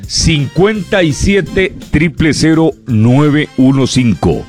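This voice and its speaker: A man hosting a radio comedy show, chatting in a lively way and reading out listener greetings. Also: on the high side, takes it slowly, soft background music. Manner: lively and chatty, breaks off and repeats words.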